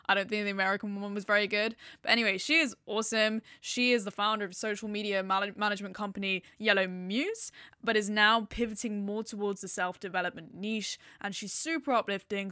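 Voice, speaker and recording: A woman hosts a business podcast with bright, forceful energy. She is chatty and bubbly, poking fun at her own slips. Her voice sits close and dry, with no room sound around it. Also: medium pitch, no echo. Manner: bubbly, chatty, self-mocking. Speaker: podcast host. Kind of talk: podcast intro and interview.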